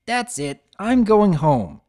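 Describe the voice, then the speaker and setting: A woman voicing a brash tomboy girl pony who talks in a gruff, sarcastic, complaining way. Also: medium pitch, sing-song, slow pace, dark tone, pitched above the performer's own voice. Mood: grumpy.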